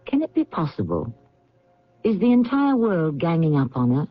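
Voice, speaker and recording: A man's voice: a little high, big pitch swings, moderate pace. A man hosting a radio mystery, narrating theatrically with wry rhetorical questions. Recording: dull old radio recording. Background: faint music.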